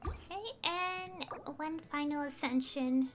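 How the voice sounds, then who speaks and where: A woman's voice: high-pitched, takes it slowly, faint music behind. A woman chatting casually on a gameplay livestream, rambling and hesitant as she thinks aloud. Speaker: game streamer.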